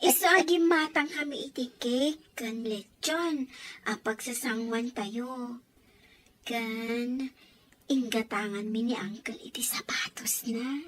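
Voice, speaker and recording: A woman's voice: a little high, takes it slowly, fairly bright tone, slight background noise. A woman playing a cheerful, affectionate young grandchild in an audio story drama. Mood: eager, sweet. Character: cheerful, grateful young grandchild celebrating an uncle's birthday. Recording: music under the voice.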